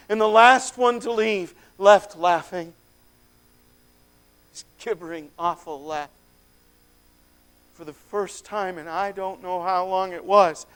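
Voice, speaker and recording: A man's voice: extremely high pitch, clean tone. A man playing a haunted, freed soul. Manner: swings from hushed to shouted. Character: freed tormented man recalling possession, haunted, fervent. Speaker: dramatic monologue performer. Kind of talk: first-person dramatic monologue.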